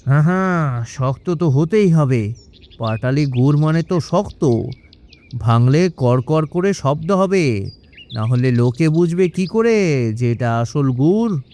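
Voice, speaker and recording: A man's voice: on the high side, lively intonation, slow, warm tone. A man voicing a sly, boastful swindling salesman in a children's cartoon, brash and wheedling. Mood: smug. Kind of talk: cartoon story voice acting.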